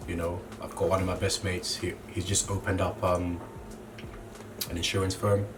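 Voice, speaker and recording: A young man who grew up in Zambia, a clothing brand co-founder, talking casually and relaxed, with lots of "you know" fillers. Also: deep voice. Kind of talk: casual interview monologue. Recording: distant mic with clear background noise.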